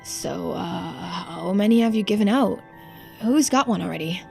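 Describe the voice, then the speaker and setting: A woman voicing an earnest, subdued young diver in a video game, speaking seriously and with quiet determination. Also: medium pitch, unhurried, creaky, soft background music. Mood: awkward, hesitant.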